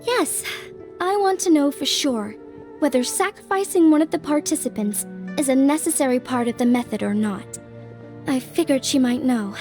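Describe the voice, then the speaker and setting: An earnest young mystic in a fantasy video game, with a high voice. She speaks politely and with care, thoughtful and a little hesitant, as if weighing each point. Soft music plays behind her. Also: lively intonation, normal speed. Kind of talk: video game voice acting, conversational storytelling.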